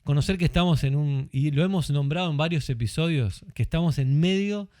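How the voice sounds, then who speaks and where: A man's voice: a little high, a little fast, a little mellow, no room sound. A man with a Uruguayan Spanish accent hosting a podcast in a studio, talking in a chatty, friendly way with many asides. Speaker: podcast host. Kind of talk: casual chat.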